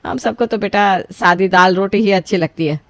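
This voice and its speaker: A woman plays a stern, penny-pinching mother-in-law in a family storybook cartoon. She nags and scolds in a mid-pitched voice, recorded dry and close. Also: moderate pace, fairly bright tone, no room sound, lightly acted. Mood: instructing. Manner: scolding, bossy, calls everyone beta. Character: strict frugal middle-aged mother-in-law, scolding. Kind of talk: scripted family story voicing.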